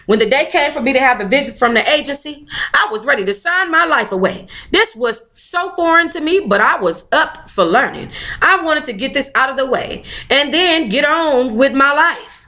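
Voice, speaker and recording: A woman hosting a book club, reading a memoir aloud in an animated, playful way with casual, sassy asides. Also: high voice, moderate pace. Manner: animated, playful, colloquial commentary.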